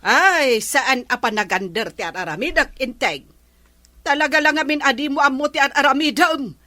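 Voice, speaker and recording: A woman's voice: sits high, lively intonation, moderate pace, sharp tone, no echo. A woman playing a nagging, exasperated wife in an Ilocano comedy drama, scolding emphatically with an Ilocano accent. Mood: exasperated, commanding. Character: nagging, exasperated wife scolding her lazy village-captain husband. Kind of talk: rural comedy radio-style drama acting.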